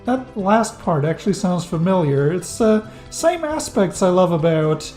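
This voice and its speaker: A man's voice: high-pitched, sing-song, a little slow, a little mellow, soft background music. A thirty-year-old man, a non-native English speaker, narrating a scripted game retrospective in a wry, enthusiastic way with dry jokes. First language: not English. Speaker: game reviewer. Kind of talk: scripted video-essay narration.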